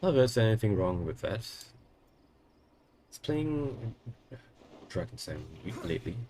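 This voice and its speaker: Hoarse deep-voiced male streamer. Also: sing-song, a little slow, dark tone, a little hiss or hum. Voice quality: coarse, strained voice. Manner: friendly, greets and thanks chat viewers. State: hoarse, losing his voice from a cough. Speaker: game streamer. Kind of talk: casual live-stream chat with viewers.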